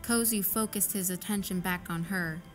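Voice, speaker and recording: A woman narrates a scripted adventure story as an audio drama narrator. Her voice sits at a medium pitch, with faint music playing behind it. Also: normal speed.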